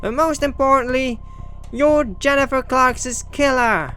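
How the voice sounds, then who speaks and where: A man's voice: very high-pitched, unhurried, pushed and bright, soft background music, pitched above the performer's own voice, full character voice. A man voicing a determined young accuser in a visual novel, speaking with indignant, dramatic conviction. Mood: outraged accusation.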